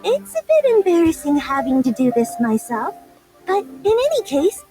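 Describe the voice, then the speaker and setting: A woman's voice: extremely high pitch, wildly swooping pitch, moderate pace, dark tone, soft background music, full character voice, poor audio. A woman voicing a naive, forgetful beast girl in a video game, hesitant and rambling but proud. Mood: defensive, proud.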